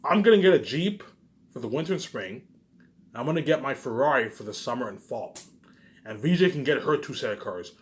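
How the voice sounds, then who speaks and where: A man's voice: medium pitch, animated, a little fast, a little hiss or hum. A man talking off the cuff in a casual, rambling monologue, circling back over the same phrases and tossing in casual swearing and tag questions.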